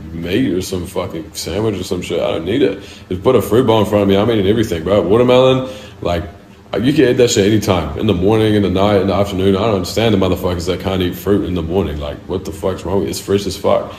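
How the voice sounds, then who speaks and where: Young New male artist, very deep, swears freely, echoey room. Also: darkish tone. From New Zealand. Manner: loose, slangy and profane. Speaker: music artist. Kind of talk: casual answers to interview questions. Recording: roomy echo.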